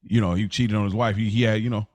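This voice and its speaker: An American man co-hosting a casual podcast discussion, thinking aloud in a halting way and circling back to rephrase. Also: fairly low pitch, steady tone, darkish tone, dry recording. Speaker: podcast co-host.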